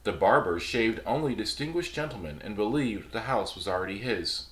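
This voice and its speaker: Low-voiced man reading a fairy tale, echoey. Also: normal speed. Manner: relaxed, chatty, reads aloud with small slips and laughs. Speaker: storyteller host. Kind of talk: casual storytelling reading.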